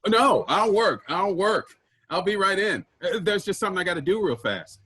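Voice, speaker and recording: A man acting in a staged play reading as an earnest, wistful man searching for his vanished sibling, with a husky voice. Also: sits high, animated, moderate pace, bright, forceful tone, exaggerated voice. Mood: reassuring.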